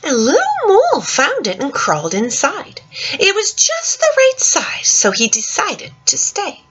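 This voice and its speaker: A woman reads a children's storybook aloud in an expressive, dramatic way, speaking directly to young listeners, with a husky voice. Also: high-pitched, a little slow, rough recording. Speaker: storyteller reading a picture book to children.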